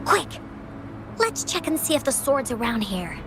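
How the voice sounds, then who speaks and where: A woman's voice: high voice, sing-song, unhurried, over music, exaggerated voice. A woman voicing a bubbly, confident little girl adventurer in an anime-style video game, with a childlike manner. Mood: excited, boastful. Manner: childlike, refers to self by name.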